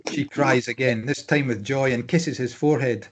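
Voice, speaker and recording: A man's voice: mid-range voice, fairly even intonation, normal speed, a little hiss or hum. A man reading stage directions as scripted narration at a drama table read.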